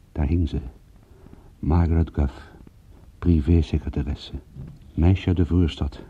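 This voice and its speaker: A world-weary private detective tells his story in a low and heavily rasping voice. The delivery is sardonic and deadpan, in the style of radio noir. The sound is a little dull, like an older broadcast. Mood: grim, sardonic. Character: world-weary, cynical male private detective recounting a case. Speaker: narrator in a radio drama. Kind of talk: scripted first-person detective radio-play narration. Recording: muffled old radio-play recording.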